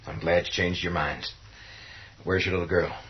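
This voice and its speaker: An actor playing a gruff, protective survivors' leader, firm and blunt, in a horror radio play. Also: male voice, sits low, fairly even intonation, moderate pace, lightly acted. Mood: concerned, steady. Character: commanding, protective, gruff man leading survivors. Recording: dull, muffled audio.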